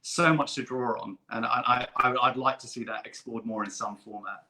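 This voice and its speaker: Bright, forceful man chatting enthusiastically. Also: mid-range voice, fairly even intonation, normal speed, low-quality recording. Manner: enthusiastic, emphatic. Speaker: guest performer at an online fan convention. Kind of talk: casual chat.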